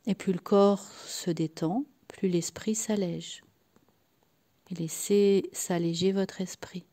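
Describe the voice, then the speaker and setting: Female relaxation guide, dark mellow timbre, soft and at times breathy, very slow soothing delivery with long pauses. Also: fairly low pitch, fairly even intonation. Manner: soothing, unhurried, with long pauses between short phrases. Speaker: guided meditation and sophrology practitioner. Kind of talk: guided yoga nidra relaxation.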